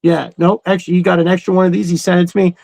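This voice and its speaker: Higher-pitched, creaky-voiced man chatting casually. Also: flat delivery, very fast, a little mellow, dry recording. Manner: rambling, slangy, enthusiastic. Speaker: host of a toy collecting livestream. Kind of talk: casual chat.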